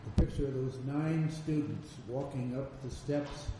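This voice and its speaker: An older man, a veteran reporter, recalling past events in a measured storytelling way on a panel. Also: medium pitch. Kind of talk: panel discussion recollection. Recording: distant mic in echoey room with noise.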